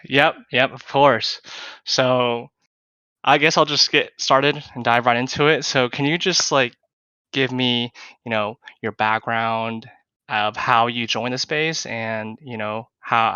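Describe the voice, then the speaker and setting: Bright, smooth-voiced male podcast host. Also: mid-range voice, steady tone, a little slow, no room sound. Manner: friendly, uses "yeah" and "you know" fillers. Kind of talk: casual podcast hosting. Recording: dull lo-fi online call audio.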